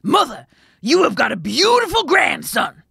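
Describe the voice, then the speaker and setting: A woman voicing a frantic young male miller in a storybook tale, shouting urgently. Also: mid-range voice, expressive, a little slow, gravelly, slightly rough, no echo, studio quality, pitched above the performer's own voice, full character voice. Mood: excited, hurried. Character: frantic young man, a miller defying his mother.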